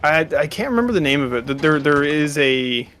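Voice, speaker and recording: A man's voice: a little high, big pitch swings, brisk, fairly bright tone, a little hiss or hum. Easygoing man in his thirties, chatty. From California. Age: 30s. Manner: easygoing, filler-heavy, chuckles often. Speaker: co-host watching a game. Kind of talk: casual chat while gaming.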